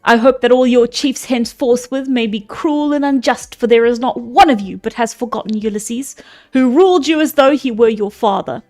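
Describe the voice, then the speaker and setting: A woman's voice: on the high side, normal speed, darkish tone, faint music behind, natural voice. A woman voicing a stern, indignant old male counselor, declaiming to an assembly.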